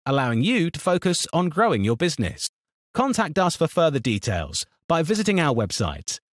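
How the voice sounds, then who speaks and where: A man narrating a scripted software tutorial in an instructional, step-by-step manner. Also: on the high side, no echo, clean studio sound.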